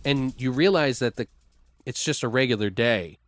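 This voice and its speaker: A man's voice: medium pitch, moderate pace, music in the background. A man co-hosting, casually brainstorming a made-up story in a loose, rambling, profane way. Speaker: podcast co-host.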